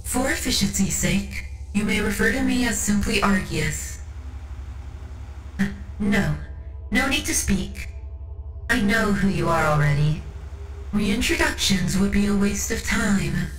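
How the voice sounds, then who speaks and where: A woman playing a composed, coolly superior tech priest of a machine cult in a scripted ASMR roleplay, speaking formally and deliberately to the listener with faint condescension. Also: mid-range voice, lively intonation, far from the mic, natural voice. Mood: brisk, condescending. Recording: roomy echo over background music.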